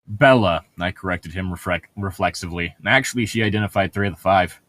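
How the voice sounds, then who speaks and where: A man's voice: low voice, brisk, fairly bright tone. A man co-hosting a casual read-aloud, throwing in sarcastic asides and laughing often. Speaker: co-host of a humorous read-aloud commentary.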